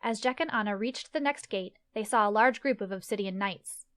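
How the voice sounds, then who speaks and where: A woman's voice: mid-range voice, normal speed, a bit bright, clean tone. A woman reading scripted narration for a fantasy story as its narrator.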